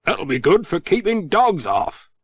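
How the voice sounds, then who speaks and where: A man's voice: sits high, very animated, measured pace, no room sound, pitched above the performer's own voice, exaggerated voice. A man doing a genial, knowing hill farmer.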